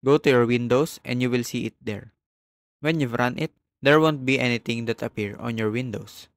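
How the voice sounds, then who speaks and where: A man narrating a step-by-step software tutorial as the host. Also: medium pitch, normal speed, no echo.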